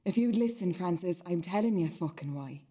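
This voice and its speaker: A woman acting a nagging younger sister in a radio drama. Her voice is lowish, soft-edged and husky, and she argues stubbornly, repeating her point. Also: animated, normal speed. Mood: insistent, exasperated. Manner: stubborn, repetitive, argumentative. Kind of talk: radio play dialogue.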